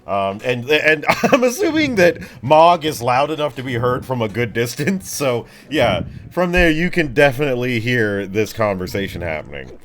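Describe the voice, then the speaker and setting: A man's voice: mid-range voice, sing-song, moderate pace, hardly any pauses, a bit bright, slight background noise. A man working as game master, casually narrating a tabletop fantasy game.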